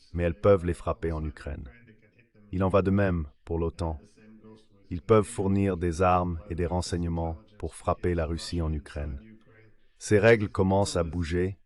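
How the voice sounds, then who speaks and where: A man with a low voice delivers measured, explanatory analysis as a dubbed interview guest. The voice is synthetic and keeps to the style of expert commentary. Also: a little mellow. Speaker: translated voice of an interview guest, an academic.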